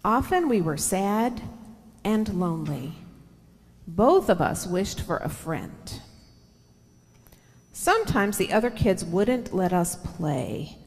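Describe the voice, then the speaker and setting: A middle-aged woman reads aloud to children as a church storyteller. Her pace is slow and her pitch sits in an ordinary female range, with expressive lingering on words and conversational asides. She speaks into a headset mic, with long hall reverb around the voice. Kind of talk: reading a picture book aloud to children. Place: large church sanctuary, seated indoors. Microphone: headset microphone.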